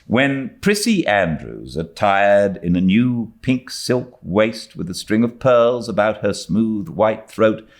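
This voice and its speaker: A man with a British accent reading a classic novel aloud as an expressive audiobook narrator. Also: on the low side, slow pace, few pauses. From Britain. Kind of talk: expressive literary story narration.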